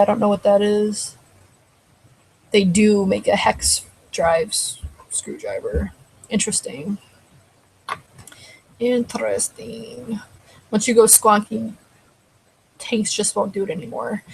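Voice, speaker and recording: A woman's voice: medium pitch, slow, gravelly, pauses often, husky, low-quality recording. A woman hosting a casual live stream, chatting loosely and answering viewers, her throat getting dry. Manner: rambling, conversational, answers chat comments. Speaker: live show host.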